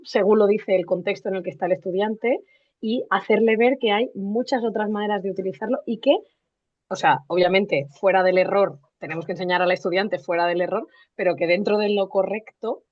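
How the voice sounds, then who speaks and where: A Spanish teacher, a woman from Spain with a Spanish accent, talking in a lively, rambling way with many restarts. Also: medium pitch. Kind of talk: live online teaching discussion. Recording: muffled lo-fi call audio.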